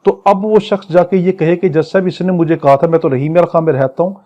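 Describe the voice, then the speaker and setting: A man's voice: a little high, fairly even intonation, warm tone, highs cut off. A man with a Pakistani accent teaching law in a didactic way, explaining phrase by phrase and repeating himself. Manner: didactic, repeats and paraphrases, switches to slow English readings. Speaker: law lecturer. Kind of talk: exam lecture, explaining legal text phrase by phrase.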